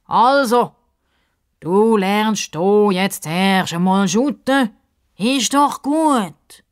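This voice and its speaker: A woman voicing a grumbling, dramatic male talking crocodile in a children's story, with drawn-out, sighing delivery. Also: medium pitch, lively intonation, clean tone, no room sound, clean studio sound, pitched above the performer's own voice. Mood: cajoling. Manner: theatrical, drawn-out, sighing complaints.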